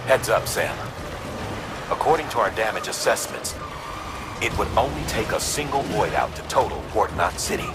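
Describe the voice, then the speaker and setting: An actor playing an authoritative game mission commander, briefing firmly and urgently in a businesslike way. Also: male voice, fairly low pitch, animated, normal speed, long pauses, loud music behind the voice. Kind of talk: video game radio briefing.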